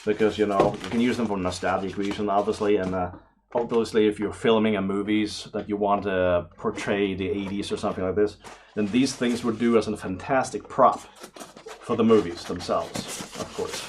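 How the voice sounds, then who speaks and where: A man giving casual unboxing commentary to camera, excitable and prone to awestruck exclamations and hesitations. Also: on the low side, moderate pace, background noise. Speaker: vlogger, radio amateur hobbyist.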